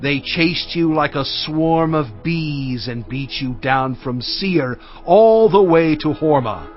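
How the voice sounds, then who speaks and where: A prophet-leader addressing his people in a scripture drama. His rather high voice swings expressively, emphatic and solemn as he recounts and admonishes. The sound is a little muffled, with music underneath. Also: expressive, slow pace. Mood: reproachful. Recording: dull audio with music underneath.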